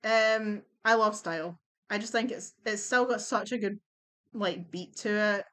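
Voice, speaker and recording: A young woman chatting bluntly as she ranks songs at home on her computer, her voice going a little hoarse. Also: mid-range voice, unhurried, fairly bright tone. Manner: chatty, blunt, swears and laughs easily. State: losing her voice. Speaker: vlogger ranking songs. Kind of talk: casual chat.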